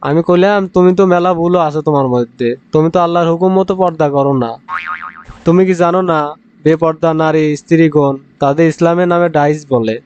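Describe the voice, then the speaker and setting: A man's voice: fairly high pitch, fairly even intonation, brisk, a little mellow, clear, resonant tone, close to an everyday voice. Male actor as devout young man in comic cartoon, earnest, dull sound over music. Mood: preachy. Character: earnest, pious young man rejecting his ex-girlfriend. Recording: dull lo-fi audio, music underneath.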